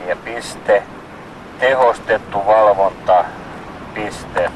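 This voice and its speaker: A man's voice: fairly low pitch, background noise. A man working as a radio operator in an exercise, formally dictating messages in short, repeated procedural phrases.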